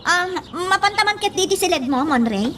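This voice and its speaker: Bossy moody older girl in radio drama, super high voice, swoops around, naggy and pushy. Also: animated, brisk, pushed and bright, slight background noise, heavily acted. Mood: scolding, pushy. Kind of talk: radio drama acting in Ilocano.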